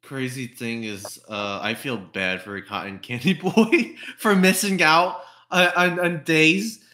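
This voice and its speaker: A man chatting casually as a podcast member, in a halting way with frequent chuckles. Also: fairly high pitch, pushed and bright, a little hiss or hum. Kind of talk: casual group chat.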